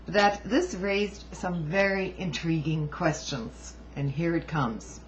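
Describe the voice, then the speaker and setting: Female commentator reading aloud, very unhurried and deliberate, emphatic asides, dull home recording. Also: medium pitch, a little hiss or hum. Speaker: amateur book reviewer and commentator.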